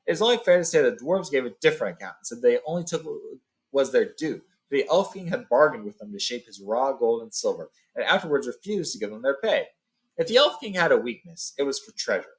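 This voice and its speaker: A man with a medium-pitched voice hosts a book read-along. He moves between reading a fantasy tale as narrator and recapping it informally in his own words. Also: poor audio.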